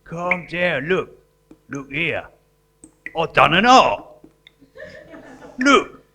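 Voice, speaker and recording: A man playing an earnest, deluded artist in prison in a live stage comedy, matter-of-fact and deadpan. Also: on the high side, very slow, heavily acted. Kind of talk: live comedy theatre acting. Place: live performance in a museum. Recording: noisy live stage recording.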